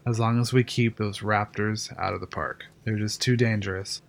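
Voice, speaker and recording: A man's voice: on the low side, steady tone, normal speed, gravelly. A man playing a tense, cautious control room engineer in an audio drama, with a guarded, practical manner. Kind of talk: fan audio drama acting.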